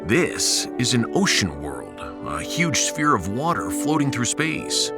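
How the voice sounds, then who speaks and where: A man's voice: medium pitch, very animated, takes it slowly, over loud music. A man playing a bold, sarcastic starship captain in a science fiction audio drama, with a dramatic and wry delivery. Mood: solemn, dramatic.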